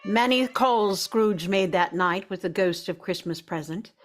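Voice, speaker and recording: A woman narrating a radio drama as a storyteller, in a measured, literary manner. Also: medium pitch, faint music behind.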